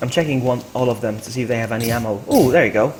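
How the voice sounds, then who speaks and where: A man streaming a video game, commenting live and chatting with viewers in an excitable, exclamatory way, often repeating his phrases. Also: mid-range voice, very fast, a little hiss or hum, low-quality recording.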